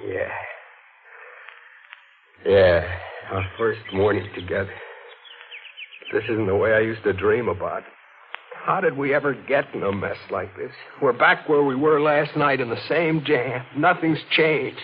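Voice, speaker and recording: An actor playing a panicked, guilt-ridden young newlywed husband on the run, agitated and stammering, in 1940s radio style. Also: male voice, medium pitch, a little slow, pauses often, close to an everyday voice. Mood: weary, brooding. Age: young adult. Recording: scratchy, tinny 1940s radio recording.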